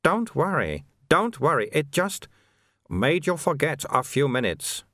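Man playing a high, lilting, chatty monk. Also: very animated, unhurried, bright, forceful tone, dry recording, clean studio sound, exaggerated voice. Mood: soothing. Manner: casual, soothing, lightly teasing explanations. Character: calm, chatty, placating monk guide, older man. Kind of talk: audiobook character dialogue.

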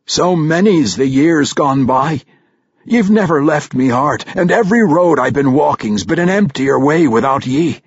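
A gruff but tender old dwarf speaks in a thick, folksy dialect, as a male narrator puts him on. The voice sits raised and a touch husky, heartfelt. The recording is dry and a little dull. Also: on the high side, lively intonation, measured pace, no room sound, voice pushed up, heavily acted.

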